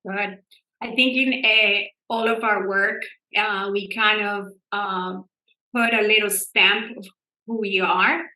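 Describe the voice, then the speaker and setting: A woman perfumer talks on a panel, upbeat and expressive. Her voice is bright and forward, and it carries a roomy echo. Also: mid-range voice, slow pace. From Colombia. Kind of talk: panel discussion answer.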